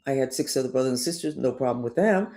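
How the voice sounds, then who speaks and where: A woman's voice: rumbling low, fast talker. An older woman, a spiritual teacher, talking in a digressive, emphatic personal monologue. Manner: digressive, emphatic, lively up-and-down delivery.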